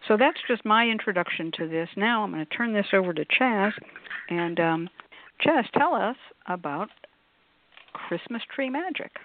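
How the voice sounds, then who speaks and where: A woman hosts a casual radio talk show over a thin, phone-like connection. She is chatty and effusive, dropping emphatic asides as she goes, at an average pitch for a woman. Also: expressive, normal speed, a little hiss or hum. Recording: phone-like, narrow-band sound.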